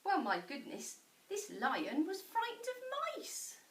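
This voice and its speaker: Lady reading kids story, really slow and swoopy, echoey room, bit far from mic. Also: extremely high pitch, huge pitch swings. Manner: dramatic emphasis with deliberate pauses. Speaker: teacher reading a picture book. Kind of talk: expressive storybook narration for children.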